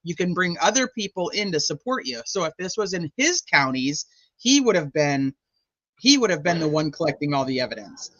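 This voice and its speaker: Laughing man with a high, bright voice. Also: brisk, no room sound, muffled recording. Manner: animated, laughing, rambling. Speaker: co-host commenting on trial. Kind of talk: casual live chat.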